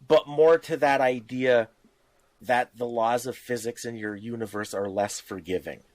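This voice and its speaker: A man's voice: medium pitch, steady tone, measured pace, a little mellow. A man in casual podcast discussion about tabletop games, explaining things with examples and little acted-out asides. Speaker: podcast co-host.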